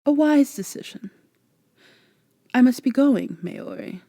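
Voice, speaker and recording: A woman voicing a haughty, teasing vampire in a formal, measured, condescending way for an ASMR roleplay. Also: sits high, animated, mellow, dry recording, studio quality, pitched above the performer's own voice, heavily acted. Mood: cool, teasing. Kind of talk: soft-spoken ASMR roleplay acting.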